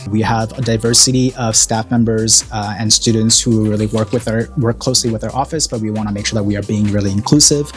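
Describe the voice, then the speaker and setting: Earnest low-voiced program director, faint music. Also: male voice, fairly even intonation, fast, few pauses. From Korea. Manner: earnest, reflective, many fillers. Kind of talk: interview talking about an event.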